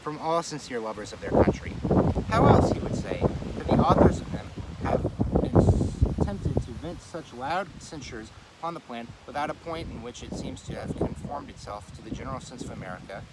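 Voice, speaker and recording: A man reading an old political essay aloud in a measured, oratorical way, now and then stumbling and correcting himself. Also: medium pitch, animated. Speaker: reader of a daily series. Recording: clear street and vehicle noise.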